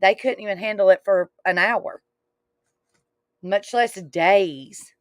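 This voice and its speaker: A woman's voice: mid-range voice, takes it slowly, no echo, clean studio sound. A woman talking casually and giving her opinions in a panel discussion.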